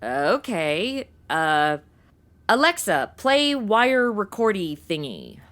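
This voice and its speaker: An actress playing a flippant, sarcastic, easily bored young sidekick in a comedy audio drama, talking in modern casual slang. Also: female voice, medium pitch, very animated, slow, fairly bright tone.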